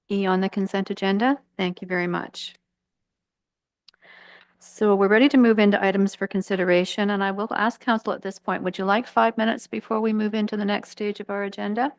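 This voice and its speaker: A woman mayor chairing a council meeting in a brisk, procedural way. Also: medium pitch, no echo.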